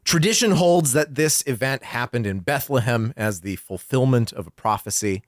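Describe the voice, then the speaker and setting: Male podcast co-host, lively pitch swings, colloquial storytelling, casual comedic chat, dry close recording. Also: dry recording.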